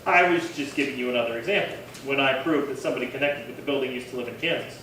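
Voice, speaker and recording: A man's voice: mid-range voice, very fast, bright, forceful tone, lightly acted. A man playing an amiable, self-satisfied detective sidekick in a 1950s-style radio crime drama, folksy and keen to explain. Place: live theatre performance space. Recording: very echoey hall, distant, faint noise.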